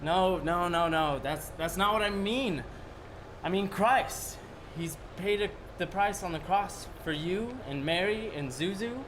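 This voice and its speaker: A man's voice: high-pitched, unhurried, background noise. An actor playing a kindly, bumbling male apprentice angel with a hesitant, pleading manner, in 1940s radio style. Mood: gentle, hesitant.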